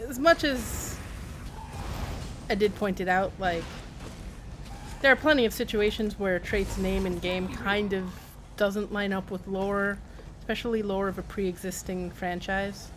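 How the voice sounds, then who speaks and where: A woman's voice: mid-range voice, fairly even intonation, unhurried, long pauses, slight background noise. A woman giving casual live game commentary, rambling and playfully self-deprecating as she chats to viewers and game characters. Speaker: game livestreamer.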